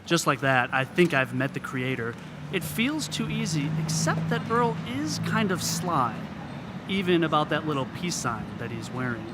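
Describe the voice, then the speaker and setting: A man's voice: on the high side, big pitch swings, moderate pace, very noisy. A man narrating a scripted radio story live on stage as a reporter. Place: theater stage. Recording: live theater with music behind.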